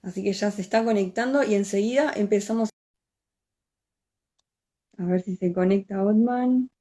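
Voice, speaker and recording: A young woman with a Rioplatense Argentine accent hosting a casual live-stream interview, informal and quick to laugh. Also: medium pitch, mellow. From Buenos Aires province, Argentina. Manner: friendly, laughs often, informal. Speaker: interviewer, host. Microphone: video call.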